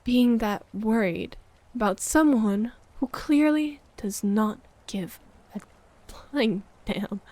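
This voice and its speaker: A woman's voice: medium pitch, warm tone, husky. A woman playing a jealous, needy, wounded girlfriend in an ASMR roleplay audio drama, sighing and often dropping toward a whisper. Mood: brooding, hurt.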